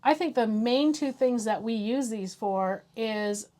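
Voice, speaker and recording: American woman, higher-pitched voice, chatty, casual product review, co-host, conversational. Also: unhurried, mellow.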